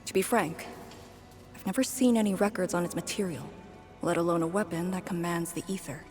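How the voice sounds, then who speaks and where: A restrained young woman operative played by an actress from a video game, speaking on the low side for a woman. She is serious and measured with a wry edge, over faint music. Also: darkish tone, natural voice. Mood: composed. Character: subdued young female operative named Lee, wry, serious. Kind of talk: video game story dialogue.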